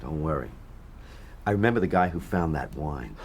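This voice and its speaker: Very deep, easygoing veteran friend. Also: male voice, animated, moderate pace, a little hiss or hum. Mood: reassuring, amused. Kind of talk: radio drama acting.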